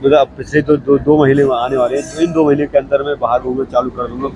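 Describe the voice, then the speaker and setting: A hoarse young man with a cold, chatting casually to camera as a vlogger and repeating himself often. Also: medium pitch, little pitch movement, fast, noisy background. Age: young adult. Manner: chatty and repetitive, keeps saying okay. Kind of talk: casual vlog talk to camera. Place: railway station platform, outdoors. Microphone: clip-on mic.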